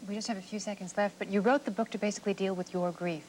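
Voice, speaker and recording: A woman's voice: mid-range voice, fairly even intonation, very fast, a little mellow, rough recording. A woman hosting a television programme, interviewing and presenting to viewers, on an older TV recording. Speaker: TV host and interviewer. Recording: faint noise, older TV recording.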